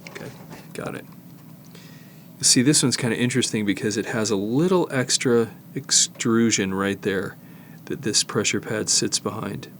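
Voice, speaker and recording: An older man giving a casual hands-on demonstration, explaining haltingly and thinking aloud as he works. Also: lowish voice, a little hiss or hum. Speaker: host, tape digitizing technician. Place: studio, indoors.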